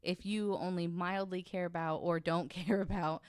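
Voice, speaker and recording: Blunt woman with a smooth, lowish voice. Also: fairly even intonation, normal speed, dry recording, clean studio sound. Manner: blunt, sweary. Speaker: podcast guest panelist. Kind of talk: casual podcast chat.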